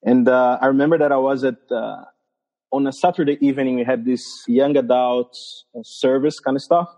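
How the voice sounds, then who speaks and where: A man from Brazil with a Brazilian Portuguese accent, a missionary guest telling his story in a casual podcast interview, halting and laughing often. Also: medium pitch, fairly even intonation, warm tone.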